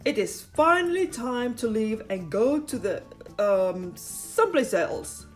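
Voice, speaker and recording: A woman voicing a big male storybook dinosaur who talks in a loud, booming, drawn-out way. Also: big pitch swings, soft background music. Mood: scheming.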